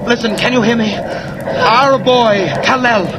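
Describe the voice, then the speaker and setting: A man's voice: extremely high pitch, steady tone, slow. An actor playing a desperate, devoted alien father, intense and theatrical, in 1940s radio serial style. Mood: desperate, tender. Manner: theatrical, fervent. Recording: very noisy vintage 1940s radio recording.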